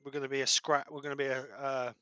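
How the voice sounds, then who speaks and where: A man talks through a woodturning job in his workshop, step by step, sometimes losing a word mid-sentence. His voice is bright and clean. It sounds dry and close, with little room echo. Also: mid-range voice, completely flat delivery, fast talker, clean tone, muffled recording. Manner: explains steps as he works, trails off. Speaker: woodturning demonstrator. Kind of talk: live workshop demo. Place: home workshop.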